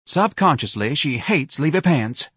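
A man's voice: on the high side, expressive, a little slow, a bit bright, husky, no room sound, studio quality, voice pushed up, heavily acted. A man voicing a self-righteous, melodramatic middle-aged wife in an audiobook, nagging and reproachful. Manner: indignant, reproachful, dramatic.

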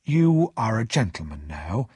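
A man voicing a humble, awkward, kindly working-class blacksmith in a storybook, speaking slowly and deferentially. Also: on the low side, very animated, warm tone, husky, no echo, clean studio sound, heavily acted. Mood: solemn, humble. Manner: slow, deferential, halting.

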